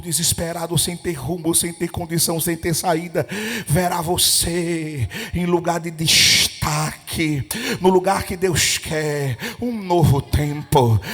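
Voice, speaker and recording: A male evangelist leading a Pentecostal prayer, chanting, speaking in tongues and rising to fervent shouts. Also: fairly high pitch. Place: church. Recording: reverberant church hall with music.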